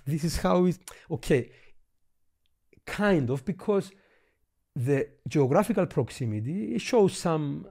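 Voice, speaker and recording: Mellow-voiced Greek man explaining eagerly. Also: on the high side, animated, slow pace, creaky, long pauses. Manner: eager, thinks aloud while pointing things out. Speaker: history teacher. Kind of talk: explaining maps in a casual lesson.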